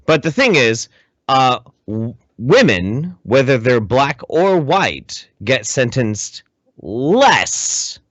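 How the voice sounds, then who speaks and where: A man delivers opinionated podcast commentary with very lively, swooping intonation. He is emphatic and profane, repeating phrases as he builds his point. The sound is close and dry, a little dull on top. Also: mid-range voice, very slow, no room sound. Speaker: podcast co-host. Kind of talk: casual opinionated panel commentary.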